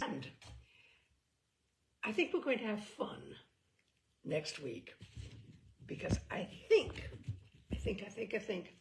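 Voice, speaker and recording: A woman's voice: mid-range voice, vocal fry, noisy background. A woman hosting a story-reading show, talking casually to listeners in an effusive, affectionate, rambling manner.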